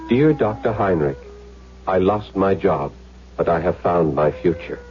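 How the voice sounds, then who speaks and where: An actor playing an earnest, sensitive young German man in a 1970s radio drama, with halting, emotionally intense delivery. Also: deep voice, lively intonation, faint music behind. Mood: confiding. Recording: muffled, noisy vintage radio recording.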